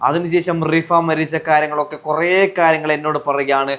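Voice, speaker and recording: A man's voice: fairly high pitch, steady tone, fairly quick, fairly bright tone. A man giving indignant, accusatory commentary to viewers, repeating himself and throwing out rhetorical questions. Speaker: vlogger commenting on social media disputes.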